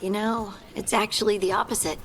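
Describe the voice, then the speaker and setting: An actress playing a candid, earnest adventurer companion in a video game, with a conversational delivery. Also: female voice, medium pitch, fairly even intonation, fairly quick, a little hiss or hum, natural voice, rough recording. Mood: earnest, uneasy.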